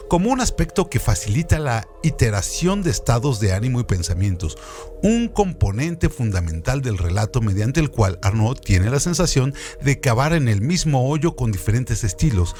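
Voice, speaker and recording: A male presenter reads scripted literary trivia with a very animated, varied intonation. His delivery is didactic and built on rhetorical questions, over a faint bed of music. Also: medium pitch. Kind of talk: scripted educational narration.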